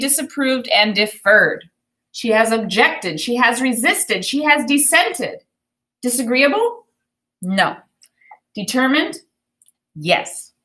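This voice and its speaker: A woman's voice: fairly high pitch, slight background noise, poor audio. Woman teacher reading a storybook aloud. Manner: expressive, deliberate storybook phrasing with explanatory asides. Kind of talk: read-aloud of a children's book with think-aloud commentary.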